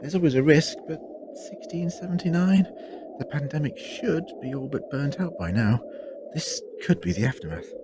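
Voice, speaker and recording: Man playing a lively, wry time traveller. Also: a little high, normal speed, noisy background, natural voice. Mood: grave, explanatory. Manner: wry, eloquent, drily humorous. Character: wry, learned male time traveller, theatrical and reassuring. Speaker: lead actor. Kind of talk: audio drama acting.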